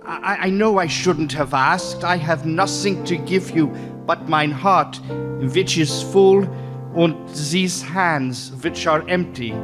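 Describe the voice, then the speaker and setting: Earnest foreign professor in love, drama, played by a man: unhurried, tender, slightly high, music underneath. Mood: tender, hesitant. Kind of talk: radio theater acting.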